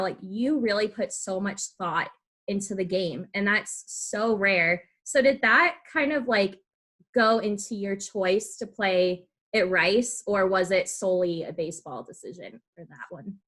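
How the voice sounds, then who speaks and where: A woman's voice: mid-range voice, measured pace, fairly bright tone. An American woman hosting a podcast, chatting informally with her guest in a casual, conversational interview style. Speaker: podcast host.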